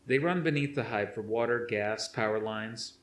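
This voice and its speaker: A man's voice: fairly low pitch, moderate pace, roomy sound, slight background noise, close to an everyday voice. A man voicing an anxious young tech operative in an audiobook, explaining plainly and a little hesitantly while trying to stay composed. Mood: irritated, explaining.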